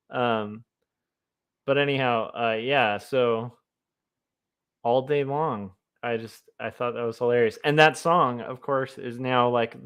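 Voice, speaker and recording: A man of middling pitch talks casually to his stream while drawing. His voice is naturally loud and sits close on the mic. He rambles along with plenty of ums and likes. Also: clear, resonant tone. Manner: rambling, chatty, many fillers. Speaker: comic artist streamer. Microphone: desk mic close to the mouth.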